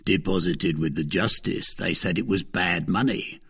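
A man's voice: deep voice, normal speed, vocal fry, fairly bright tone, slightly rough, heavily acted. A man voicing a poor, deferential innkeeper in a storybook reading, pleading and calculating. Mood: meek. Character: poor male innkeeper, humble, wheedling and calculating.

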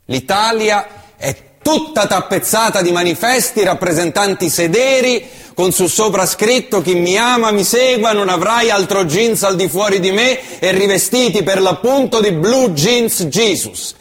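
A male stage actor delivering a theatrical monologue, impassioned and shifting from hushed tones to loud bursts. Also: high voice, a little slow, breathy edge, in a large hall. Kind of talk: theatrical monologue reciting an essay.

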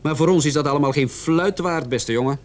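An actor playing a sarcastic, distrustful police interrogator in a radio drama, talking down to the person questioned with mocking condescension. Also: male voice, fairly high pitch, a little fast, natural voice. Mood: threatening, official.

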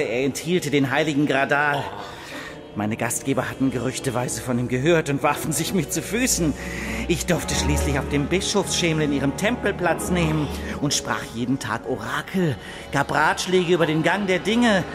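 A male actor plays a blind, crippled old swindler in a radio drama. His voice sits fairly high as he tells his tale, plaintive and full of self-pity. Music plays clearly underneath. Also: close to an everyday voice. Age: older. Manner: plaintive storytelling. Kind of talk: German radio drama monologue.

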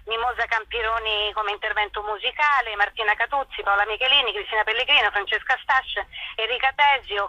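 Woman, sharp bright voice, high pitch, halting conversational speech, telephone interview. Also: no room sound. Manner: hesitant, searching for words. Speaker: actress, interview guest. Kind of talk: casual spoken interview over the phone. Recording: phone call to a radio show.

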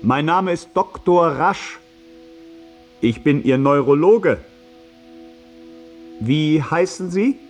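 An actor playing a formal neurologist who questions a patient slowly and deliberately, in a German radio drama. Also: male voice, a little high, animated, soft background music. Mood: formal, probing. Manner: formal, slow, over-clear questioning. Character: Doctor Rasch, brisk clinical neurologist questioning a patient.

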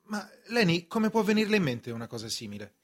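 A courteous, puzzled accused man in a radio play, played by an actor. His husky voice moves up and down in lively swings as he questions politely, in disbelief. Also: high-pitched, a little fast. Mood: shy, flirting. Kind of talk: stage acting in a radio drama.

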